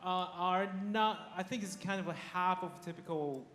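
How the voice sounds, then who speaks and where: A man's voice: high voice, normal speed, fairly bright tone. A man from Taiwan, sharing his life story with a church congregation in a chatty way, laughing often and restarting his sentences. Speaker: guest speaker. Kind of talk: personal testimony to a congregation. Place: church sanctuary. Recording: echoey hall with faint noise.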